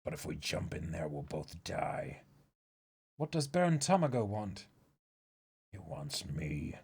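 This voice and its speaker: Grim male warrior acted by a man, low, quiet and husky, deliberate, resolute, manga dramatic reading. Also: sing-song, heavily acted. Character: grave, low-voiced male warrior, weary and resolute, ready for sacrifice.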